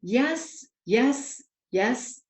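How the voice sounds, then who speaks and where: A woman's voice: fairly high pitch, lively intonation, very slow, voice pushed up. An eager, kindly storybook manservant, acted out by a woman, pleads with earnest urgency. The voice is lifted above normal talk and has a roomy echo around it. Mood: excited, exclaiming. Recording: roomy, echoing home recording.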